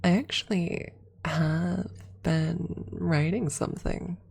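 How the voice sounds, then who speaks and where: Low, breathy, soft-spoken ASMR woman. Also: fairly even intonation, takes it slowly. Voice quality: breathy, soft, often dropping into whisper. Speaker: streamer. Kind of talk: ASMR, casual chat and soft story reading. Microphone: close-up microphone.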